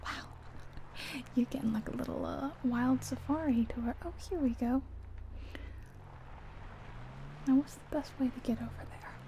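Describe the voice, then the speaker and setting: Casting: a woman as a friendly female cab driver for ASMR roleplay, high but very mellow, breathy near-whisper, faint ambient noise behind. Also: moderate pace. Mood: curious, hesitant. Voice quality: soft, breathy, often whispered. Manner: gentle, hesitant, murmuring to herself and passenger. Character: friendly, chatty, sleepy-soft female city taxi driver. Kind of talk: soft-spoken ASMR roleplay. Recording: soft ambient car and rain noise.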